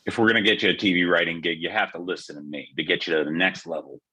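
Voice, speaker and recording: A man acting a brisk, pushy sidekick in a screenplay table read, with a rough-edged voice. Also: sits low, fairly even intonation, sharp tone, full character voice.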